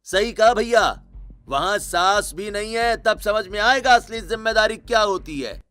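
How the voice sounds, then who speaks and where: A man's voice: sits high, lively intonation, a little slow, fairly bright tone, soft background music, voice pushed up. A man voicing a stern, domineering middle-aged family patriarch in a cartoon story, speaking emphatically. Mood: angry, scolding. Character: stern middle-aged family patriarch arranging his nieces' and daughters' marriages.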